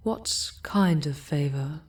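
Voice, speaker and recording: A woman playing an ancient, seductive but remorseful demoness in an ASMR fantasy roleplay, speaking in a hushed, solemn, breathy way. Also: deep voice, expressive, slow, heavily acted. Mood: wary.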